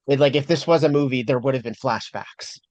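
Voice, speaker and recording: Man in his forties, podcast host, chatty, animated, dull lo-fi sound, dry. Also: mid-range voice, brisk, no room sound. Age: 40s. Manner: animated, chatty, laughs easily. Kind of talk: casual interview chat.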